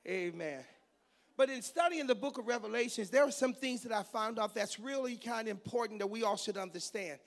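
A man in his fifties preaches in a raspy, high-pitched voice with a gravelly edge. As a pastor he mixes teaching and preaching in one sermon. He reads scripture and prays, and drops goofy, joking asides in between. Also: brisk. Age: 50s.

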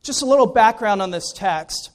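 A middle-aged American man speaks as a visiting preacher. His voice sits high for a man and rings clean and smooth. He talks in an informal, conversational way with a self-deprecating streak. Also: lively intonation, normal speed. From Maryland, United States. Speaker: guest preacher, pastor. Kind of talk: informal spoken introduction to a sermon.

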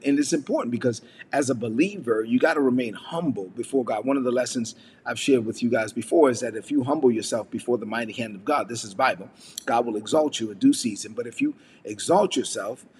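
A man's voice: medium pitch, breathy edge, big-room sound. A man from the United States giving a casual devotional message, talking conversationally with frequent fillers and rhetorical questions. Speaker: preacher.

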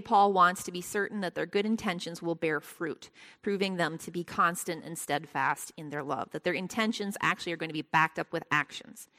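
A soft-spoken woman leading a Bible study lesson, with a prayer and a scripture reading, speaking gently and with frequent ums. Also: on the low side. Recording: long hall reverb.

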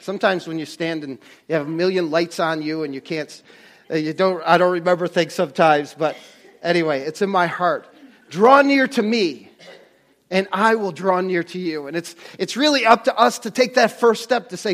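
Middle-aged male pastor, higher-pitched voice, earnest off-the-cuff preaching, stop-start delivery. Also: fairly bright tone. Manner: earnest, halting, often restarts and trails off. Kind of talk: conversational sermon. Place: church stage under bright lights.

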